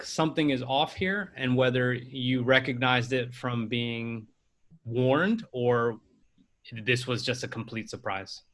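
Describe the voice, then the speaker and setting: Male podcast host, bright tense tone, easygoing and chatty. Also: mid-range voice. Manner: laid-back, rambling questions, laughs easily. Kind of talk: casual interview.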